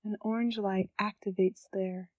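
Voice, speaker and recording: Woman guiding meditation in an even tone. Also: medium pitch, no room sound. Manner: gentle, calm, unhurried instruction with pauses. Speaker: meditation guide. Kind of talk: scripted guided meditation.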